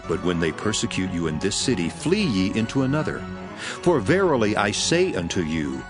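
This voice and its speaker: Solemn low-voiced master, sweeping intonation. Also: male voice. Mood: grave, warning. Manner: measured, declamatory, emphatic preaching delivery. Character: solemn male religious master commissioning his disciples, authoritative and earnest. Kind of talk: dramatized King James scripture reading. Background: music behind the voice.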